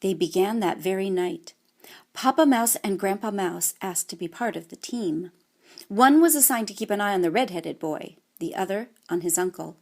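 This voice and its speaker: A woman narrating a children's audiobook in an expressive, scripted storytelling manner. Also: medium pitch, normal speed.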